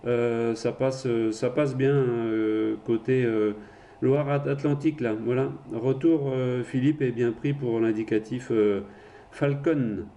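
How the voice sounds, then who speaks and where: A man's voice: medium pitch, steady tone, takes it slowly, mellow, slight background noise. A man chatting casually as an amateur radio operator, handing over the microphone in turns. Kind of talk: casual radio contact chat.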